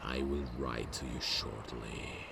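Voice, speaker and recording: A man playing an imposing, amused demon king in a romantic fantasy ASMR roleplay, speaking in a courtly, formal, deliberate way with a gravelly voice. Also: fairly even intonation, background noise, exaggerated voice. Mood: composed, commanding. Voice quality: gravelly, very deep.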